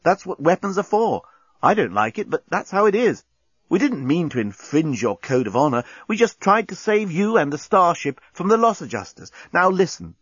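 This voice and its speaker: A man's voice: high voice, wildly swooping pitch, a little fast, few pauses, breathy edge, no echo, highs cut off, pitched above the performer's own voice, exaggerated voice. A man voicing an earnest, charming, plucky young woman in a storybook, with an eager, pleading manner. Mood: urgent, earnest.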